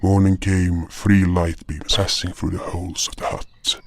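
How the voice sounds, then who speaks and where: A man's voice: deep voice, level tone, a little slow, gravelly, slight background noise. A man reading scripted first-person fantasy narration as a storyteller. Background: music.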